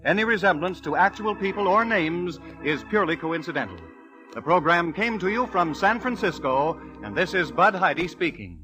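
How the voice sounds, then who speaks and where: A man's voice: sits high, lively intonation, normal speed, rough recording. An American man reading scripted credits as a 1940s radio announcer. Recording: vintage broadcast with organ music. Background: organ music.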